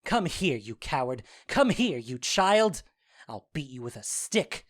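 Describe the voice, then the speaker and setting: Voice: mid-range voice, very animated, a little slow. An actor playing a mischievous goblin sprite in an audio drama, theatrical and playful in delivery. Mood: taunting. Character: Puck, mischievous goblin sprite serving the fairy lord. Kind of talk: audio drama acting of modernized Shakespeare.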